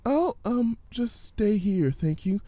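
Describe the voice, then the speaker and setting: A man puts on a nervous female storybook pony with a raised, high voice. She is timid and easily panicked, halting and whimpering as she speaks. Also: expressive, mellow, dry recording. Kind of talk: dramatic storybook reading.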